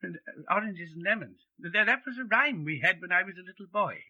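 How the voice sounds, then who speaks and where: Genial old shopkeeper: high, husky voice, rambling and nostalgic, old-time radio drama. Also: male voice, animated, a little fast, highs cut off. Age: older. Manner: chuckling, rambling, doddering. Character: genial, nostalgic old widowed junk-shop keeper.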